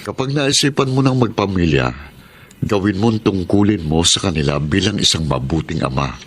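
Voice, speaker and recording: An actor playing a hardworking, gentle middle-aged father in a radio drama, talking earnestly and giving fatherly advice. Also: sits low, lively intonation, moderate pace, husky. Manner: earnest, fatherly, softly advising. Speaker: father of college students.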